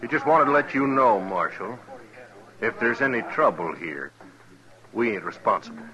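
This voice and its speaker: A man's voice: medium pitch, lively intonation, normal speed, highs cut off, a crowd in the background, close to an everyday voice. An actor playing a sly frontier gunman with an air of injured innocence in an old-time radio western. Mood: falsely innocent.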